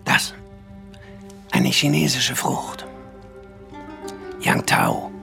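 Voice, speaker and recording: An actor playing a courtly, intense film distributor in a radio drama, speaking in a hushed, breathy, savoring way. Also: male voice, mid-range voice, fairly even intonation, very slow, music in the background, exaggerated voice. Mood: confiding, intense. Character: courtly, intense male film distributor, passionate cinephile wooing actress.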